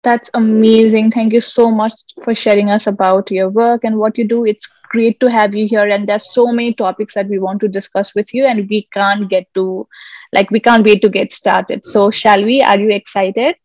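A woman's voice: mid-range voice, steady tone, normal speed, a little mellow, no room sound, lo-fi sound. A woman co-hosting a podcast in a mostly scripted presenting style. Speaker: podcast co-host.

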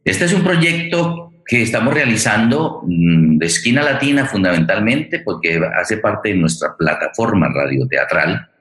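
Deep-voiced man hosting in a roomy space. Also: sing-song, fairly bright tone. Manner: formal, welcoming, halting with repeated phrases. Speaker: host of a radio theatre programme and playwright. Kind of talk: spoken introduction to a live online forum. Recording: roomy, echoing sound.

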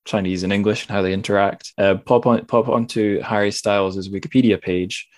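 A man with a fairly low voice hosts a casual interview. He thinks aloud and rambles a little, rewording his points as he goes. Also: steady tone, a little fast, darkish tone. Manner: rambling, self-correcting, thoughtful. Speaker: podcast host interviewing a guest.

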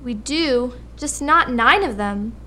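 A woman acts out a sassy, whiny American schoolchild in a radio drama. The voice is high and sharp, grumbling, with a little noise in the background. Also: animated, slow, heavily acted. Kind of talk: radio play acting.

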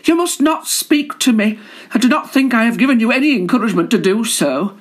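A man's voice: very high voice, animated, fairly quick, voice pushed up. A man voicing a shy, prim female garter in a storybook reading, fending off advances. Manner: prim, indignant refusals.

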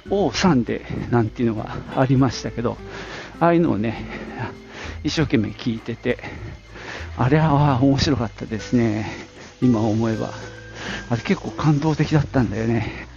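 A man's voice: medium pitch, darkish tone, dull audio. A middle-aged man from the Nagoya area of Japan, talking casually on his own as a podcast host, in an informal, rambling way with little asides. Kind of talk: casual solo reminiscing.

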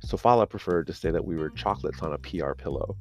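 A man's voice: very deep voice, lively intonation, fast talker, soft background music. A man narrating a serialized audio story in the first person, in a conversational, dry and wry manner.

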